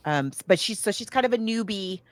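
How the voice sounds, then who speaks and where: A woman's voice: mid-range voice, expressive, a little fast, dry recording. A woman co-hosting a podcast, chatting casually about film in an emphatic, conversational way. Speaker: podcast co-host. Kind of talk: casual film discussion, recapping a plot.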